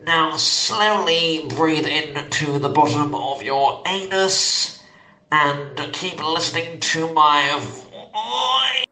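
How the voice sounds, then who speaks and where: A man's voice: on the high side, expressive, very slow, pushed and bright, reverberant, exaggerated voice, low-quality recording. A man voicing a mock-soothing meditation leader in a parody guided relaxation.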